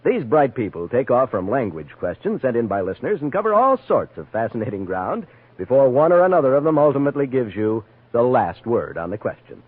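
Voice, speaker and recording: Husky 1950s male radio announcer. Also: mid-range voice, very animated, fairly quick, no echo. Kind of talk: 1950s network radio promo, scripted announcing. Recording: dry, dull vintage radio recording.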